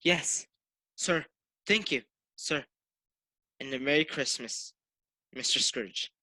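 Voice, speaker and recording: A meek family man and clerk acted by a man, hesitant and deferential. The voice is bright and slow, very dry, in radio drama style. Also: medium pitch, no echo. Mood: grateful, welcoming. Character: meek, humble male London clerk and father. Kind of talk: radio play acting.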